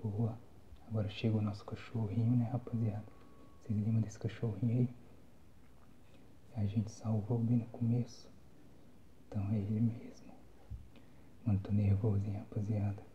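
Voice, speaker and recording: A man's voice: on the low side, little pitch movement, normal speed, pauses often, highs cut off. Whispering young Brazilian man, muted and noisy. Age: young adult. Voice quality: soft, breathy whispering. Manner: casual, slangy. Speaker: gamer, ASMR channel host. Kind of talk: whispered ASMR gameplay commentary. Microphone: phone microphone, close.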